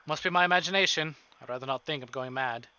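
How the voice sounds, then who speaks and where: A man's voice: on the high side, fast talker, pushed and bright, clean tone, dry recording, lo-fi sound. A man live-streaming a visual novel, reading it aloud dramatically with casual, joking, sweary asides, over music. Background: game music.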